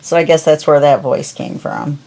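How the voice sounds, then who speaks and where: Calm low-voiced woman crafting. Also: gravelly. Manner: calm, unhurried, talks while working. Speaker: crafting video host. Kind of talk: casual crafting tutorial chat.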